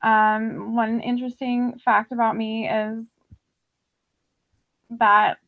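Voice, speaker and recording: A woman's voice: fairly high pitch, flat delivery, takes it slowly, vocal fry. A young woman introducing herself hesitantly on a video call, with frequent fillers, over tinny, phone-like call audio. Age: young adult. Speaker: student. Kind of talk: self-introduction.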